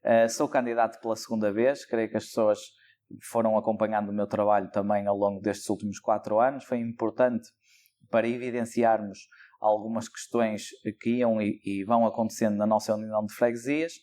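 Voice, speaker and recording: A man's voice: lowish voice, little pitch movement, a little fast, dark tone. A young man with a European Portuguese accent, a local election candidate answering interview questions in a thoughtful, hesitant way with many fillers. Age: young adult.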